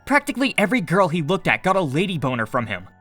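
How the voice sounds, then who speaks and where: A man plays a brash young man smitten and ready to provoke. The voice is on the high side and very expressive, delivered as animated roleplay drama. Music plays clearly behind it. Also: fast talker. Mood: defiant. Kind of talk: Minecraft roleplay voice acting.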